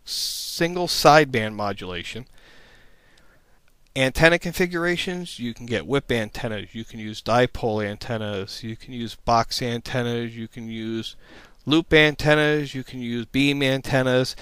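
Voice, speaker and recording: A man's voice: medium pitch, normal speed, a bit bright, clean tone, no room sound. A man narrating an informal slide presentation as an instructor, explaining things in a plain-spoken, matter-of-fact way.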